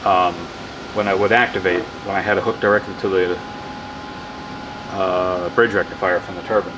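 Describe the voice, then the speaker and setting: A man casually explaining his wind turbine setup in a workshop, rambling and correcting himself with excitement. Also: low-pitched, normal speed. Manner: enthusiastic, rambling, self-correcting. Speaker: hobbyist wind power vlogger. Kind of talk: casual off-the-cuff demo narration.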